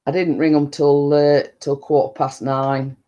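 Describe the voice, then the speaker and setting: A woman with a deep-toned voice, chatting casually and rambling to her viewers on a live stream. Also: flat delivery, measured pace, dark tone. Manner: chatty, meandering, repeats stock phrases and laughs often.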